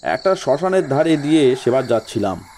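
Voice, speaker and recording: A man playing a sly local gentleman in an audio story, giving ominous ghost warnings with feigned reluctance. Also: mid-range voice, big pitch swings, a little fast, slight background noise, close to an everyday voice.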